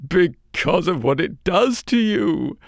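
Guy putting on a crying old rag woman, high wobbly voice, dry close sound. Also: very animated, takes it slowly, creaky, no room sound, exaggerated voice. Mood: tearful. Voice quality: high tearful quavering voice. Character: weeping ragged old woman, cryptic.

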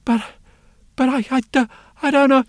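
A man's voice: extremely high pitch, fairly even intonation, moderate pace, dry recording, clean studio sound, pitched above the performer's own voice. A man playing a blunt, reluctant blacksmith. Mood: gruff. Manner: blunt, short replies.

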